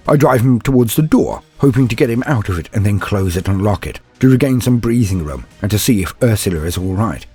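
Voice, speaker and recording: A man with a deep voice that swings widely in pitch as he narrates lore and stories. His delivery is formal and theatrical, with a grandiose turn to each phrase. Music plays along behind him. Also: a little mellow, husky. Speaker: host of a lore channel and storyteller. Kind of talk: scripted lore narration and dramatic storytelling.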